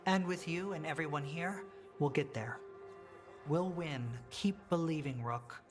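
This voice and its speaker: An actress playing a determined female rebel leader in a video game, earnest, measured and authoritative. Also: low-pitched, soft background music. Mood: resolute. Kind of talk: video game cutscene acting.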